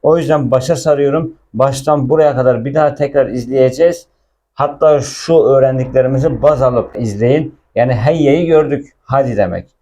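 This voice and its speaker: A man's voice: medium pitch, normal speed, dark tone. A man giving a casual language lesson, explaining film dialogue and repeating words with their translations. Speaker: Arabic teacher.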